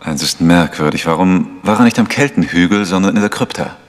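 Fast-talking deep-voiced police inspector played by a man. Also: lightly acted. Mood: businesslike. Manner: official, matter-of-fact. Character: brisk, businesslike male police inspector. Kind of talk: German radio crime drama acting. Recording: long reverberant hall.

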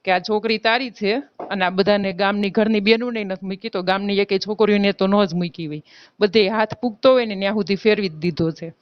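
A woman's voice: mid-range voice, fairly even intonation, brisk, a little hiss or hum, natural voice. A woman playing an aggrieved married village woman in a phone-call drama, with a Gujarati accent, insistently accusing and threatening her lover. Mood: bitter threatening. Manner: insistent, reproachful, argumentative. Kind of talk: staged phone-call drama in colloquial speech. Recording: dull, lo-fi phone call recording.

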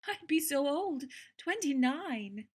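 A proper, motherly eldest sister, voiced by an actress in an audio drama. Her voice is high and sweeps up and down. She speaks in a prim, gently chiding way. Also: sing-song, slow pace, heavily acted. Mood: dreamy. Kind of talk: theatrical audio drama acting.

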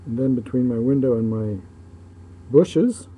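Male amateur video commentator, very dark relaxed tone, medium pitch, unhurried pauses, clear ambient vehicle noise. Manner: matter-of-fact, halting, pausing between phrases. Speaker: local shipping news publisher filming ships. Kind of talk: casual off-the-cuff commentary on video. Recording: muffled dark sound, clear background noise.

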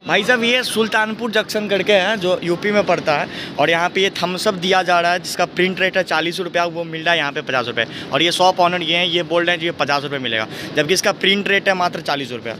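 A man's voice: high voice, quick pace, few pauses. A young man talking casually to viewers in a travel vlog, joking with friends, filmed on a phone at arm's length on a busy railway platform. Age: young adult. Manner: chatty, joking, addresses viewers directly. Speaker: vlogger. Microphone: phone camera held at arm's length. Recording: noisy outdoor station ambience.

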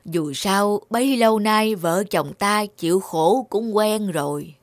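A man's voice: high voice, slow pace, dry recording. A man playing a kindly, resigned old hunter in a cartoon fairy tale. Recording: music under the voice.

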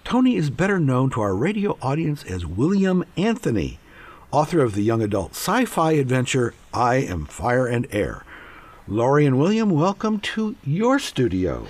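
Male radio host for a panel interview show. Animated pitch movement, husky tone. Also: moderate pace, dry recording. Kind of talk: hosting a scripted panel interview.

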